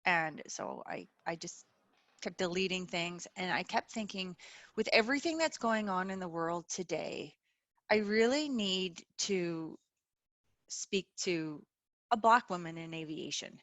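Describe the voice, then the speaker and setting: A middle-aged woman hosting a webinar over a video call, talking earnestly with many pauses and fillers. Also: on the low side, expressive, fairly bright tone, no echo. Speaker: webinar host. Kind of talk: casual chat.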